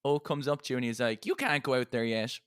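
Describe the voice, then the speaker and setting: A man's voice: medium pitch, fast, smooth, no room sound, clean studio sound. Sweary man chatting on a gaming podcast. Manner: sweary, animated storyteller. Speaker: podcast co-host. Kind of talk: casual chat on a gaming podcast.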